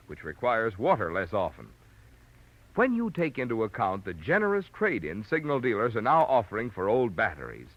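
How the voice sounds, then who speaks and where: A man with an American accent reading a scripted sponsor message as a polished, emphatic 1940s radio announcer. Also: medium pitch. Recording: muffled old radio broadcast recording.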